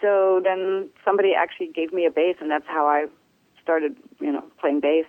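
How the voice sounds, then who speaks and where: A woman from Germany, a bass player, answering interview questions in a relaxed, hesitant way with many fillers. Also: moderate pace, no room sound, narrow, tinny audio. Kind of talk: casual phone interview.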